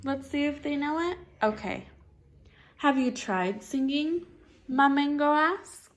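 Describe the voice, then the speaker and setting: High-voiced woman reading to a child. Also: takes it slowly. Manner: encouraging, echoes the child's answers as questions. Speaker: adult reading a picture book aloud to a child. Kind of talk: dialogic storybook reading with questions.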